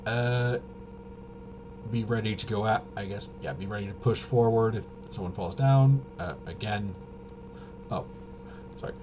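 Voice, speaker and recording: Casting: male rules explainer, lowish voice, halting off-the-cuff delivery that trails off, noticeably noisy recording. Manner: halting, trails off and self-corrects. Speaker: host. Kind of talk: casual rambling tabletop game rules walkthrough. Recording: clear steady background noise.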